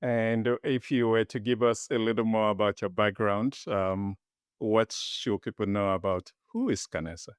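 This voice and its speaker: A man hosting a podcast interview, introducing his guest and asking measured, polite questions. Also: fairly low pitch, clear, resonant tone, no echo, studio quality. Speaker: podcast host.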